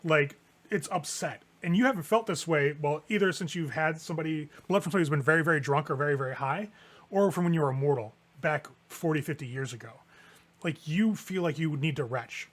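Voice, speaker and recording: Male game master, higher register, conversational horror narration for a tabletop game. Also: quick pace, a bit bright. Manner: casual, conversational improvised narration with swearing.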